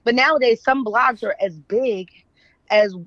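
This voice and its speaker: A woman's voice: a little high, measured pace, a bit bright, dry recording, dull audio. An American woman speaking as an interview guest, casual and opinionated, often checking in with the listener. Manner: opinionated, frequent checking tags like "right?" and "you know what I'm saying". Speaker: guest, author and journalist. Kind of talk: casual conversational interview talk.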